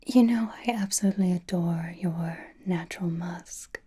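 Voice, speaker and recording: A woman voicing a doting, teasing vampire in a breathy ASMR whisper, coy and theatrical, with lingering phrasing. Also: lowish voice, normal speed, dark tone. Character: flirtatious, doting, teasing female vampire companion.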